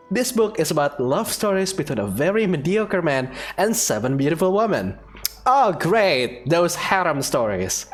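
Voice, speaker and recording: A game streamer reading game text aloud expressively, with casual commentary in between. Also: medium pitch. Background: faint music.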